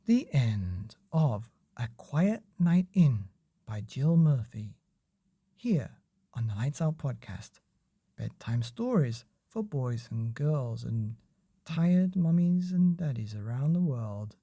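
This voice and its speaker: A man reading a children's bedtime story in an unhurried, expressive way as a podcast narrator. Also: mid-range voice, breathy edge, no room sound.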